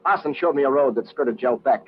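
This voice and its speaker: An actor playing a brash, wisecracking American adventurer, slangy and clipped, in an old-time radio drama. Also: male voice, medium pitch, brisk, close to an everyday voice. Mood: tense, recounting. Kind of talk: old-time radio adventure acting with first-person narration. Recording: dull, hissy old radio recording.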